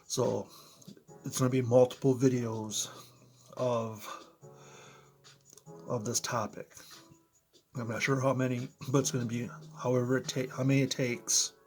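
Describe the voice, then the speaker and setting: Slightly hoarse man chatting to camera. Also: medium pitch, level tone, a little slow, pauses often, darkish tone, faint music behind. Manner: conversational, talks straight to viewers, frequent "you know" and "right?". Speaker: motivational vlogger. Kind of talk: casual explainer vlog. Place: his apartment, indoors. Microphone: close to camera, in front of computer.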